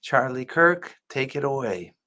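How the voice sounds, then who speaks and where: A man's voice: medium pitch, animated, measured pace, bright, forceful tone. A man, a rabbi, giving earnest religious commentary as he reacts to a clip. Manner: earnest, preacher-like teaching.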